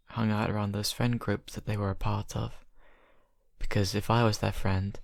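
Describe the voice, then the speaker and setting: A young man acting a shy college vampire who confides haltingly and softly to his best friend in an ASMR roleplay. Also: fairly low pitch, gravelly, no echo, studio quality. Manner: hesitant, halting, soft-spoken confiding. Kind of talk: ASMR roleplay, intimate improvised monologue.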